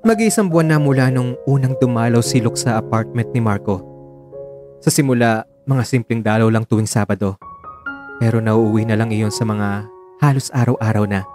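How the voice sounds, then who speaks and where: A man's voice: medium pitch, moderate pace. A man reading scripted story narration for a radio drama. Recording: voice over background music.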